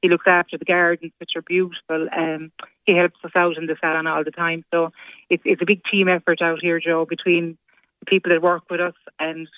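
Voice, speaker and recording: A woman's voice: lowish voice, flat delivery, a little fast, no echo. An Irish woman who owns a hair salon, talking as a radio guest, grateful and enthusiastic. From Ireland. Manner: grateful, enthusiastic, keeps saying delighted. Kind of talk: phone interview. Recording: tinny phone line.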